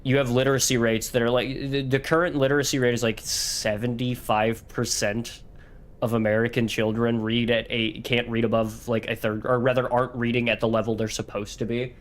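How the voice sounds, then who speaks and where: A man from Louisiana chatting on a game stream while sick, his voice catching as he keeps clearing his throat. He rambles bluntly and swears freely. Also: mid-range voice, flat delivery, a little fast. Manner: blunt, profane, meandering. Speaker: streamer co-host. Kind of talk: casual let's-play chat.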